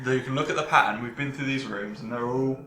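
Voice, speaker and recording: A man's voice: medium pitch, quick pace, pushed and bright. A man in his twenties playing a tabletop game, chatting casually in a rambling way. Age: 20s. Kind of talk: casual improvised in-character chat. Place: domestic dining room. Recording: roomy home recording, faint noise.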